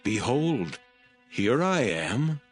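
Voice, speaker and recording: A man's voice: medium pitch, sing-song, very slow, soft background music. A man playing a devout, solemn patriarch in a dramatised scripture reading. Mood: solemn, steady.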